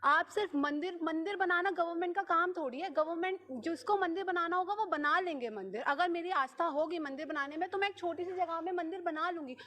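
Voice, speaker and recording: A woman's voice: extremely high pitch, a little fast, few pauses, pushed and bright. A woman in her twenties with a North Indian accent, speaking insistently as a local resident in a spontaneous, impassioned street interview, mixing in English words. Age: 20s. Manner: insistent, repetitive, mixes in many English words. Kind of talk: impassioned spontaneous vox pop.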